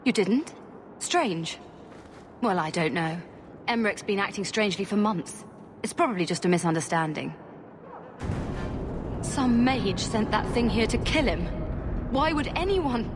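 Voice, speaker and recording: A woman's voice: medium pitch, lively intonation, unhurried, long pauses, close to an everyday voice. An actress playing an earnest, worried townswoman in a video game, speaking in a serious, insistent way. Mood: alarmed. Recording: noisy ambience behind the voice.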